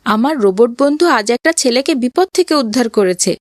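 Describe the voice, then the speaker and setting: A woman's voice: a little high, animated, brisk, dry recording, studio quality, lightly acted. A woman voicing a pious, kind young boy in a children's cartoon, talking earnestly and patiently.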